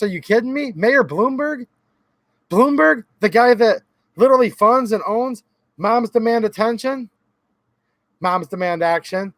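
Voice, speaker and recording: Hoarse high-voiced man venting to viewers. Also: dry recording. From Michigan. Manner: earnest, talks directly to viewers as guys, repeats himself. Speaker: gun channel host. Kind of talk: casual talk to camera.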